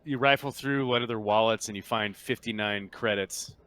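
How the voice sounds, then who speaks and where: Bright, low-pitched male game master. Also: normal speed, clean tone, poor audio. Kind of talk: casual narration of a tabletop roleplaying game.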